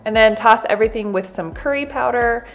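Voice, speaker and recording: A woman in her thirties, a cooking channel host, chatting brightly through a recipe in a home kitchen. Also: on the high side, brisk, faint music behind. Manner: bubbly, chatty, addresses viewers as "you guys". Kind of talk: recipe demonstration, voice-over and to camera.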